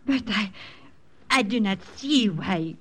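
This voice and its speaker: A woman's voice: medium pitch, expressive, slow pace, slightly rough, heavily acted. An actress playing a proud young Frenchwoman with a French accent in a 1940s radio drama, speaking in a formal, clipped and cold way. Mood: cold, guarded. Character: proud young French woman, cool and refusing. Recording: old radio broadcast with music.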